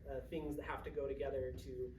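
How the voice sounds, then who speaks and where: A man's voice: mid-range voice, flat delivery, fast. Distant man answering audience questions, echoey room. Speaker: playwright at an audience talkback. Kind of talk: casual spoken Q&A. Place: indoor theatre room, mic far from speaker. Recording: roomy, distant mic, noisy hall.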